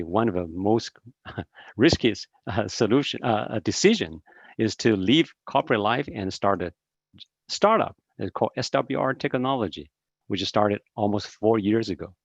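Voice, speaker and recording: A man's voice: lowish voice, no echo. A man in his fifties from Taiwan, a seminar speaker giving an encouraging online talk with many hesitant fillers over a video call. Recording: dry, dull video-call audio.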